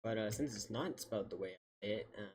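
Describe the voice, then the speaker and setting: Husky-voiced male livestreamer, casual banter. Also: fairly low pitch, fast talker, a little mellow. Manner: playfully defensive, bantering. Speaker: livestream host. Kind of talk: casual chat with livestream viewers.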